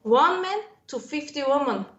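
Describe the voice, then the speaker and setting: A woman's voice: high voice, animated, normal speed, a bit bright, dull audio. A woman calling in to a debate, arguing back in a hesitant way, full of fillers and restarts. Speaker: caller. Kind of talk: debating on a call.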